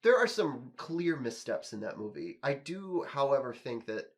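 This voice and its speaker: A man with a mid-range voice talks as a podcast host in relaxed conversation. He gives his opinions and reasons through them point by point. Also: mid-range voice, normal speed. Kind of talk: casual conversation, giving opinions.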